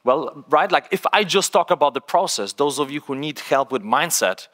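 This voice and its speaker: A man in his thirties from Poland with a Polish accent, giving an energetic, conversational workshop talk on a large conference stage, often tagging lines with "right?". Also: a little high, normal speed. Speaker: speaker. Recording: long hall reverb.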